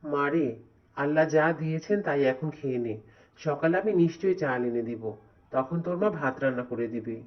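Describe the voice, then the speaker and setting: A man's voice: on the high side, a little fast, lightly acted. A man voicing a poor, worried village father in a cartoon, humble and pious. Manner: pleading, weary. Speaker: voice actor. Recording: dull, lo-fi recording.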